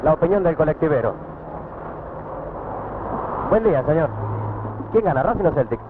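A man with a Rioplatense accent fires short questions at passers-by, his fairly high voice bouncing up and down. The sound is tinny and narrow like an old 1960s newsreel, with street noise faintly underneath. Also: big pitch swings. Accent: Rioplatense Spanish. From Argentina. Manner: brisk, quick-fire questioning. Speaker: street reporter. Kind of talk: vox pop interviews. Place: city streets and harbor, outdoors. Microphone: handheld corded mic.

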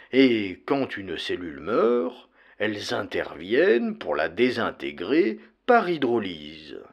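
A man's voice: mid-range voice, full character voice. A man voicing an astonished chemist reading a formal scientific report in an audiobook, precise and earnest. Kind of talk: dramatic audiobook character reading.

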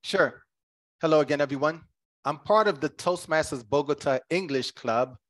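A man's voice: a little high, moderate pace. A man with an American accent gives a conversational impromptu speech on a video call, in a casual, easygoing way. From United States. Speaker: speech contest participant.

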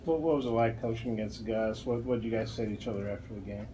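A man's voice: lowish voice, fast talker, warm tone, slight background noise, poor audio. A man, a reporter, asking questions at a press conference and repeating words as he starts.

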